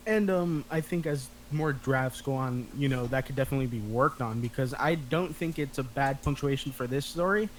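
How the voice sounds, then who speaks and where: A man's voice: mid-range voice, moderate pace, hardly any pauses, rough recording. Tentative, rambling man, faint background noise. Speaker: script reading participant giving notes. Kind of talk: casual group feedback discussion.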